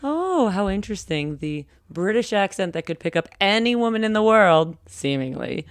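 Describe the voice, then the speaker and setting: A woman's voice: medium pitch, very animated, normal speed, no room sound, studio quality. A woman hosting a casual podcast interview, teasing and playful, laughing often. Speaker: podcast host.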